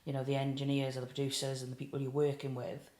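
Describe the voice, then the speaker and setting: A woman's voice: a bass voice, fast talker. A woman in her late twenties from South Wales, with a Welsh accent, chatting casually as a guest singer-songwriter with lots of fillers. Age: late 20s. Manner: chatty, relaxed, with frequent fillers. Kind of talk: casual interview chat. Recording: roomy echo.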